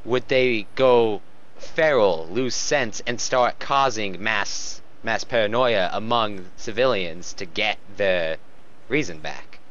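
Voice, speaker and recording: A young man in casual fan chat, halting but opinionated, on a lo-fi voice call. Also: fairly low pitch, fairly bright tone. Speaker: co-host commentator.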